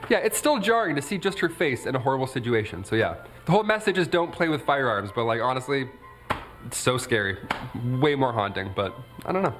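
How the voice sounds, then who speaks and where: A man's voice: medium pitch, fairly quick, soft background music. An American man in his late twenties hosting a countdown video, mixing scripted commentary with joking, conversational asides. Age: late 20s. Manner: jokey, animated, conversational. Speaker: stand-up comic.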